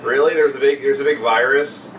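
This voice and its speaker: A man's voice: medium pitch, fairly even intonation, brisk, fairly bright tone, distant mic. Heckling young man in a noisy, echoey room. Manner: crude, heckling, interrupting. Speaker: podcast co-host. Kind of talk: casual banter among friends.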